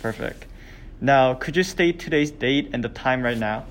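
A young man, a student, interviewing a classmate and asking his questions hesitantly, with many pauses and restarts. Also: mid-range voice, slight background noise. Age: young adult. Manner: hesitant, full of ums and restarts. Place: hotel meeting room.

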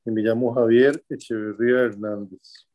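A man in his sixties with a Costa Rican accent, answering interview questions from home in a measured, halting way, often pausing with fillers to find his words. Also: medium pitch, fairly even intonation, dull audio. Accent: Costa Rican Spanish. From Costa Rica. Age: 60s.

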